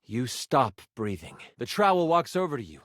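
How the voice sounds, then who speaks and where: Theatrical, expressive game master. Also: male voice, medium pitch, moderate pace, no room sound, clean studio sound. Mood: dramatic narrating. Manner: theatrical storytelling. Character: male tabletop game master telling a fantasy adventure. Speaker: game master narrator character. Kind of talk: dramatic second-person fantasy game narration.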